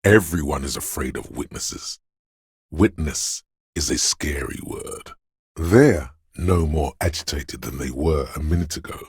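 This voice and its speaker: A man voicing a menacing, paranoid inner voice of fear and aggression in a video game, gravelly and husky, ominous and deliberate. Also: a bass voice, no echo, studio quality, exaggerated voice. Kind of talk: scripted game voice acting, second-person narration.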